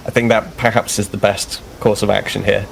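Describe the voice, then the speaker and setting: A man's voice: lowish voice, little pitch movement, fairly quick, creaky, slight background noise, rough recording. A man voicing a formal, deferential newcomer officer in a tabletop game, speaking in stiff, polite phrasing.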